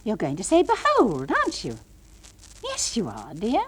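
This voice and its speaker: English nursery school teacher for comic monologue. Patient but firm, patronising sing-song coaxing, hugely animated pitch. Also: female voice, unhurried, breathy edge, heavily acted. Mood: patient, encouraging. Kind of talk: comic monologue, one side of classroom talk.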